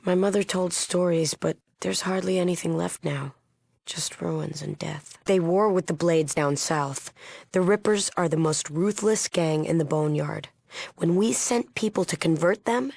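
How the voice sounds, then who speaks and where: An actress playing a solemn, idealistic leader of a post-apocalyptic peace movement in a video game, earnest and guarded. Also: female voice, on the low side, vocal fry, a little mellow, no room sound. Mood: somber, explanatory. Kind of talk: acted video game dialogue.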